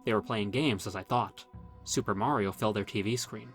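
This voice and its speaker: A man reading a scripted first-person horror story as narrator, in a dramatic, measured storytelling manner. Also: fairly low pitch, fairly bright tone, soft background music.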